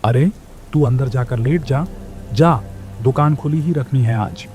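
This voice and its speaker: An envious rival shopkeeper played by a man in a children's cartoon tale. The voice is dark and soft-edged at medium pitch, calculating and grumbling, with faint music underneath. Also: measured pace, natural voice. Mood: bossy. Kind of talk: Hindi children's moral-story cartoon dub.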